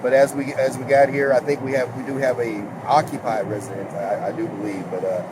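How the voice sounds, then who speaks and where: Fast-talking man, stammering, over loud engine noise. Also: medium pitch, flat delivery. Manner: halting, repeats words and phrases often. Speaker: fire department spokesman and deputy chief. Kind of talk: press briefing answering reporters' questions. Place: outdoors at a fire scene.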